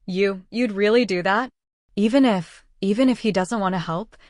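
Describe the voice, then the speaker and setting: A woman's voice: mid-range voice, a little mellow, natural voice. Echo-drenched frightened pregnant teenage girl, acted by a woman. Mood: scared, shaken. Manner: halting, stammering, emotional. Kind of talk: dramatic first-person roleplay story acting and narration. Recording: echoey, long reverb, music underneath.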